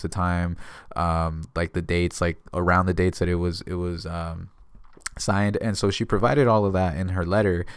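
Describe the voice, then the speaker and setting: A man's voice: deep voice, clean tone, no room sound, clean studio sound. An American man talking casually to viewers as a notary giving advice, explaining things in a conversational way by retelling exchanges. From San Diego area, California. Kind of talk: casual instructional vlog talk.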